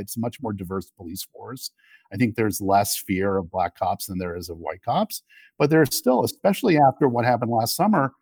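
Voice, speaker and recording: A middle-aged man in a conversational political discussion, arguing in a halting, reflective way. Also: medium pitch, darkish tone, no room sound. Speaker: interview guest.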